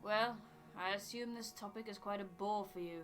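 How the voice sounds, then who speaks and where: A woman's voice: medium pitch, steady tone, fairly bright tone, soft background music, close to an everyday voice. Woman voicing a formal, aloof male botanist. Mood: formal, musing. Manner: precise, formal, measured phrasing. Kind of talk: voiced reading of video game dialogue.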